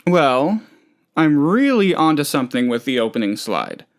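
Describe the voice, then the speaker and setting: A man's voice: on the high side, sing-song, moderate pace. A man voicing a friendly, insecure, gullible plant boss in a video game, chatty and hesitant in an earnest, folksy way. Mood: nervously upbeat. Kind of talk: video game character voice lines.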